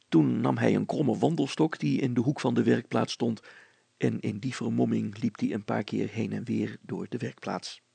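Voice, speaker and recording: A man's voice: mid-range voice, creaky, mellow, slightly rough, dry recording. A man reading a scripted story aloud as its narrator.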